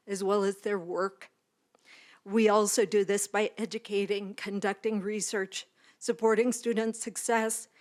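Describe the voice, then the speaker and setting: Earnest woman giving a formal statement. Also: mid-range voice. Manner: measured, earnest, partly read. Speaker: professor. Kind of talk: formal land acknowledgement speech. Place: university graduation ceremony.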